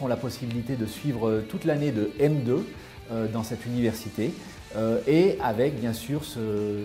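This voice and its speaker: Man representing a school, mellow tone, calm explanatory pace, soft guitar under him. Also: mid-range voice. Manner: measured explanatory delivery with frequent fillers. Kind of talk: promotional interview.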